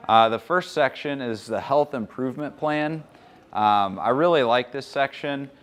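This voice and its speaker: A man in his thirties giving a relaxed, chatty conference presentation in a hotel conference room. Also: mid-range voice, unhurried. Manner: relaxed, chatty explaining with fillers.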